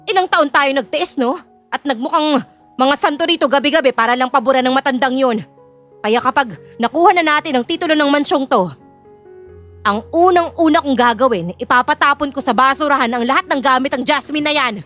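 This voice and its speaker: A woman's voice: sits high, brisk, a bit bright, faint music behind. A woman playing a greedy, haughty middle-aged mother in an audio drama, with a scornful, theatrical delivery. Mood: smug scheming. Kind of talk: audio drama character acting.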